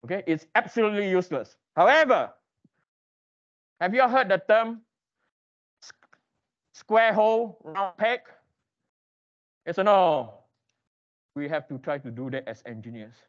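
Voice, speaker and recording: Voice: medium pitch, lively intonation, a little slow, long pauses, lo-fi sound. An engineering lecturer teaching interactively in a classroom, quizzing students with emphatic, repeated questions and prodding them for answers.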